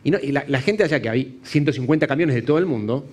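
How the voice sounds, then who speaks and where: A man in his forties with an Argentine accent, a TV producer speaking as a panel guest in a studio and telling a story with lively gestures. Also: mid-range voice, fast. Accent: Argentine Spanish. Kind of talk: panel discussion. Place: TV studio set.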